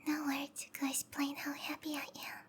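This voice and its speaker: A woman's voice: high-pitched, monotone, natural voice. Woman as a whispering, doting yandere snake-woman. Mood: adoring. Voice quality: soft, breathy whisper. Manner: slow, tender, intimate, lingering phrasing. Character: sweet obsessive female snake-woman lover, possessive and doting. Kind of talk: whispered ASMR roleplay.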